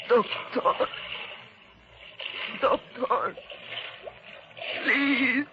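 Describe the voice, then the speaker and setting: An actress playing a frail, apologetic woman in labour, pleading haltingly in a 1940s radio drama. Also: sits high, steady tone. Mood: weak, pleading. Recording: noisy, muffled old radio recording.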